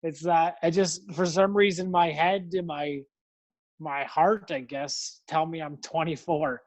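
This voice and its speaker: A man's voice: a little high, steady tone, a little slow. A man, chatting casually on a podcast in an easygoing, rambling, self-amused way. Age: 30s. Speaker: podcast co-host. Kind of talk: casual chat.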